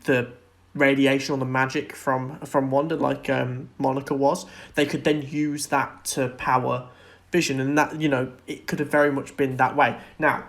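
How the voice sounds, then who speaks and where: A man's voice: medium pitch, flat delivery, normal speed, creaky, a bit bright. A man co-hosting a podcast in a casual, conversational discussion of pop culture. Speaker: podcast co-host.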